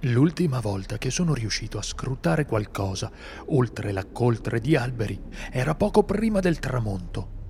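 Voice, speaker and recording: A man playing a seasoned, solitary monster hunter in an amateur radio drama, speaking gravely and steadily. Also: medium pitch, big pitch swings, normal speed, a little mellow, a little hiss or hum. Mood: grim. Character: seasoned male monster hunter, brooding loner.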